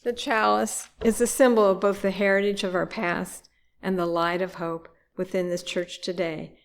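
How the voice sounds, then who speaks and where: A woman's voice: mid-range voice, little pitch movement, moderate pace. A woman worship reader, reading aloud at a church service.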